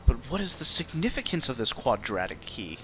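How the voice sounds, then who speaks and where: A man's voice: mid-range voice, big pitch swings, a little fast. A man giving casual, informal playthrough commentary as a game streamer, with some swearing. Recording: game music behind the voice.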